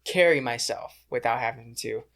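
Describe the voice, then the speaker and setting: A man's voice: mid-range voice, normal speed, fairly bright tone. A young man talking earnestly and at length as a guest in a casual podcast interview. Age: young adult.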